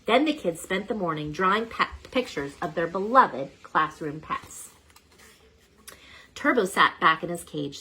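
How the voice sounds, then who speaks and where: Animated husky woman reading aloud. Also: lowish voice, rough recording. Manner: animated storyteller. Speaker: children's story reader. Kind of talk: expressive read-aloud narration.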